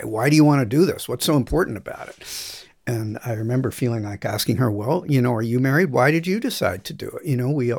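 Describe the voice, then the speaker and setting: A man recounting personal memories in a reflective, measured way for a podcast, with soft music behind him. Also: medium pitch, vocal fry, no room sound. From United States. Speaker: interviewee. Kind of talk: personal storytelling.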